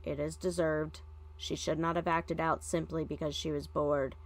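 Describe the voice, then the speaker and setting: A woman's voice: low voice, flat delivery, moderate pace. A woman voicing a tired, solemn teenage bird-headed boy with formal speech in a story. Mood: resigned, solemn.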